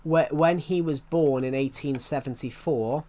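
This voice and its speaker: A man's voice: a little high, measured pace, warm tone. A man in his twenties streaming, chatting casually and rambling, saying each phrase twice before moving on. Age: 20s. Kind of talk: rambling casual livestream chat.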